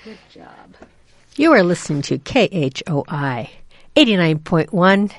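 An older woman from Iowa hosting community radio, chatty and folksy, swooping up in pitch when she exclaims. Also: sing-song, unhurried, no room sound. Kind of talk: casual radio chat. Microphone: studio microphone.

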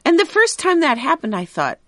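Woman from Iowa, radio co-host; animated storyteller who acts out the quotes, lively pitch, dry mic. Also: a little fast, no echo. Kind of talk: casual radio chat. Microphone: studio microphone.

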